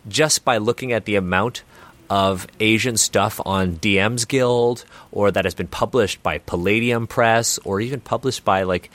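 A man's voice: fairly low pitch, measured pace, fairly bright tone. A man answering interview questions, explaining earnestly at length with frequent restarts. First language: Chinese not first language.

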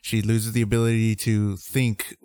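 A man co-hosting a podcast, joking and reacting quickly in casual chat. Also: fairly low pitch, level tone, mellow, smooth, no room sound. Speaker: podcast co-host.

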